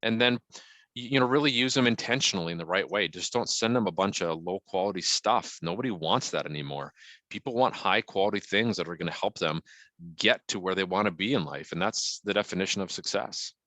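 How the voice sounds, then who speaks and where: Booming, bright low-voiced man. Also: a little fast, dry recording. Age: middle-aged. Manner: emphatic, punchy, frequently checking in with "right?". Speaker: guest marketing consultant. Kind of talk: conversational business advice to an online group.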